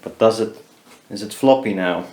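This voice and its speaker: A man casually narrating a hands-on DIY build, haltingly thinking aloud as he works. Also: lowish voice, a little mellow, slight background noise. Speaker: DIY maker demonstrating a build.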